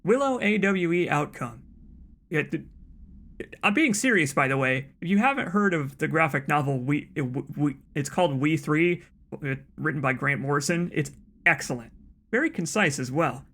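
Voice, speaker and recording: A man's voice: a little high, animated, moderate pace, fairly bright tone. Soothing, chatty man streaming a game. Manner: rambling, chatty, frequent restarts and asides. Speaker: let's play streamer. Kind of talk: casual gameplay commentary.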